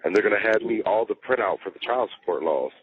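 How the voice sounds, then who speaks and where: Low-voiced man on a tinny phone line. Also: animated, quick pace. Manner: profane, slangy, repetitive explaining. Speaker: jail inmate on a phone call. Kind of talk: casual personal phone chat. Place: jail phone.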